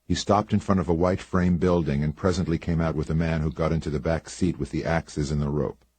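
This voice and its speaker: Very deep husky hardboiled narrator. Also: male voice, steady tone, brisk, a little mellow, no echo. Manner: laconic, dry, wry. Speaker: audiobook narrator. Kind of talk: first-person hardboiled detective narration.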